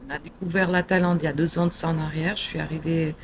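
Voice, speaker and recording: A woman's voice: deep voice, fairly even intonation, fast, background noise. A middle-aged woman from Portugal, chatting in an interview, hesitant, with many fillers and restarts. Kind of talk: casual interview chat.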